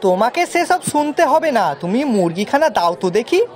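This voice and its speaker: A woman's voice: a little high, very animated, fairly quick, darkish tone, some chatter behind. A woman playing a naive, eager young male village servant in a cartoon, with dialect and a deferential manner. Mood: scheming, excited. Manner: deferential, rustic dialect turns of phrase. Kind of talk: cartoon voice acting.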